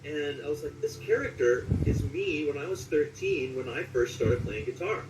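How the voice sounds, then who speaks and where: A man's voice: fairly high pitch, lively intonation, moderate pace. A man in his late forties speaking as a voice actor being interviewed, telling stories in a conversational way. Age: late 40s. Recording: roomy echo, distant mic, clear background noise.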